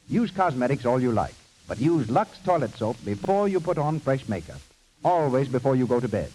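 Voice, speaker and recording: A man's voice: fairly high pitch, darkish tone, rough recording. A man announcing in formal, polished, scripted 1930s radio style. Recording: hissy vintage 1930s radio recording.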